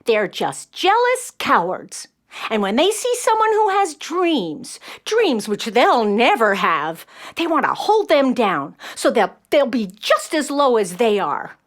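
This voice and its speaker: An elderly grandmother in a children's audio play. Her voice is high and very lively in pitch, and she takes her time. She is warm, doting and folksy, full of wonder and emphatic. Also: slow pace. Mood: firm, protective.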